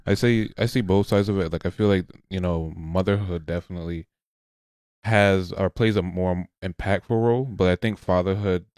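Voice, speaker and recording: A young man co-hosting a podcast, relaxed and opinionated, swearing casually in chat. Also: deep voice, moderate pace, no echo, clean studio sound. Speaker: podcast co-host.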